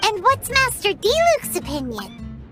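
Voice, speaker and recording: A woman's voice: very high-pitched, huge pitch swings, measured pace, heavily acted. A woman voicing a tiny cheeky fairy girl in a video game, with a chirpy, childish way of talking. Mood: curious, chatty. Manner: childish, chirpy, refers to self in third person. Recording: loud game music behind.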